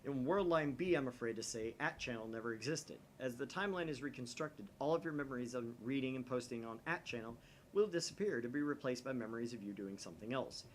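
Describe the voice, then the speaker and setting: A man voicing an earnest time traveler who explains things in a measured, grave way, read from a visual novel. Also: mid-range voice, lively intonation, lightly acted. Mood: grave, explanatory. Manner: measured, expository. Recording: faint background noise.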